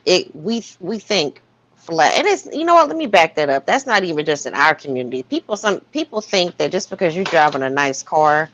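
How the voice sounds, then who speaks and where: A woman's voice: lowish voice, very animated, a little fast, a bit bright, dull audio. An American woman in a casual panel discussion, talking in a frank, opinionated way.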